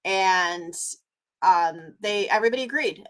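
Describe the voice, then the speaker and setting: A woman from Canada hosts her own live vlog in a loose, conversational way. She rambles, restarts sentences and fills pauses as she talks to viewers, in a voice of middling pitch. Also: a bit bright, slight background noise. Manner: chatty, rambling with many fillers and restarts. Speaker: vlogger. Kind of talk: casual live-stream chat.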